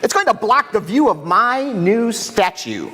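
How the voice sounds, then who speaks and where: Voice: mid-range voice, big pitch swings, a little slow, bright, forceful tone. Vain, bossy king acted out on stage. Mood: haughty, bossy. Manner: imperious, self-satisfied. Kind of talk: community readers' theater. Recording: reverberant hall.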